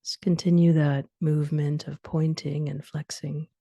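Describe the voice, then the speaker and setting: A woman leading a guided sleep meditation, giving soothing instructions in short phrases with long pauses and soft, breathy endings. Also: deep voice, flat delivery, no echo.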